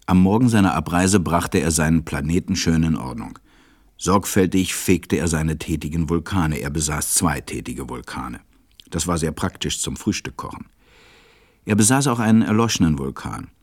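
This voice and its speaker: A man playing a gentle, reflective storyteller, narrating a storybook radio play in a measured way. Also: extremely low pitch, animated.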